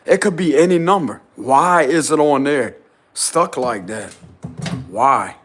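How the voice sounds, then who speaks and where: A man vlogging casually and insistently from a small rented room, with a deep, loud voice. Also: takes it slowly, soft background music. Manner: excited, insistent, slangy. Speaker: spiritual vlogger. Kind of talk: casual vlog to camera.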